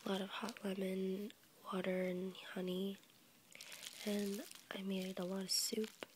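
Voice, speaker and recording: A young woman with a cold, whispering softly as she rambles for an ASMR video, stuffy and a little congested. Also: mid-range voice, little pitch movement, unhurried, background noise. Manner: soft unvoiced whisper, rambling with fillers and small laughs. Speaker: ASMR YouTuber. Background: crinkling packaging.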